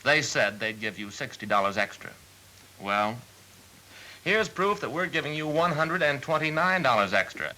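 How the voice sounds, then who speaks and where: An actor playing a smooth, persistent car salesman with polite, persuasive, methodical patter in a mid-century training film. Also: mid-range voice, big pitch swings, normal speed, sharp tone, low-quality recording. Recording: old film soundtrack with faint hiss.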